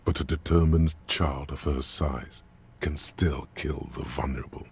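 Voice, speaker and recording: A man voicing a grave, brooding narrator in a game, reading in a measured, literary way. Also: steady tone, full character voice. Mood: grave, watchful. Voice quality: very deep, gravelly. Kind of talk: scripted second-person game narration.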